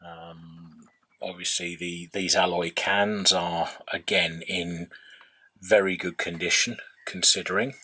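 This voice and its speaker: A man's voice: sits low, level tone, slow, sharp tone, husky, low-quality recording. A man giving an unscripted, hands-on workbench narration as a hobbyist, hesitantly thinking aloud. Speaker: hobbyist radio restorer.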